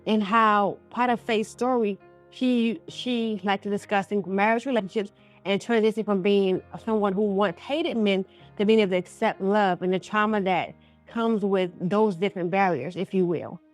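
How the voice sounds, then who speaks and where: A woman's voice: medium pitch, normal speed, faint music behind. A woman hosting a conversational interview show, talking in a folksy, affirming way and addressing listeners directly. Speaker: radio show host.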